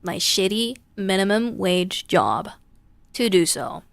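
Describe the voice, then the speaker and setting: A woman's voice: medium pitch, animated, slow, vocal fry. Woman reading a wry, teasing young clerk. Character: teasing, blunt young gas station clerk playing doctor.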